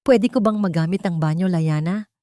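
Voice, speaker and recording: A woman's voice: fairly low pitch, moderate pace, mellow, no echo, studio quality, natural voice. A woman voicing a polite, shrewd female broker in a dramatic story, courteous and direct, with a Filipino accent.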